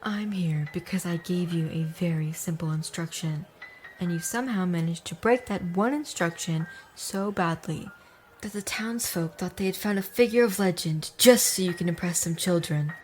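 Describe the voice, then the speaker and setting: A woman playing a stern, aloof, menacing wanderer in an audio drama, speaking in a formal, measured way. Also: lowish voice, slight background noise, close to an everyday voice. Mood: stern, lecturing.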